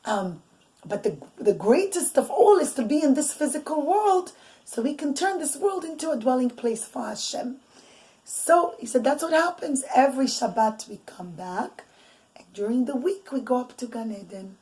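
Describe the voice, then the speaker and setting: A woman telling her child a bedtime story, speaking softly and often dropping to a whisper, explaining Hebrew terms as she goes. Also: high voice, animated, moderate pace, rough recording. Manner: soft, often drops to a whisper.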